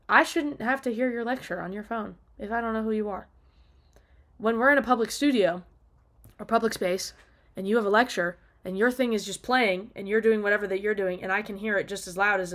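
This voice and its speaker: A woman talking casually and off the cuff in an opinionated, emphatic rant, exasperated and casually sweary. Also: mid-range voice. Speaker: video diarist.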